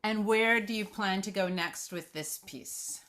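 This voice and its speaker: A woman's voice: medium pitch, a little slow, a bit bright. A woman hosting a question-and-answer discussion, putting questions to participants and moving the session along. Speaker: host, moderator. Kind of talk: Q&A moderation.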